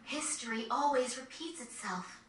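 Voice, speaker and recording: A woman playing a poised, principled young noblewoman, speaking formally and deliberately in a video game cutscene. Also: sits high, expressive, a bit bright. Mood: solemn, resolute. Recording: roomy, distant game capture with faint noise.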